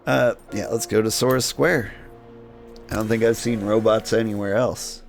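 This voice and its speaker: Gravelly, wry man playing a game. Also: lowish voice, fairly even intonation, normal speed, soft background music. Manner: wry, sarcastic. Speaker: gameplay host. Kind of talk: casual game playthrough commentary.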